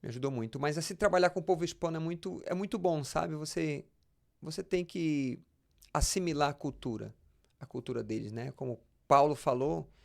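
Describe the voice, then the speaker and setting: Brazilian guy, pastor on a podcast, bit high voice w gravelly fry, repeats words as he explains. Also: a little fast, a little mellow. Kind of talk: conversational interview, personal anecdotes.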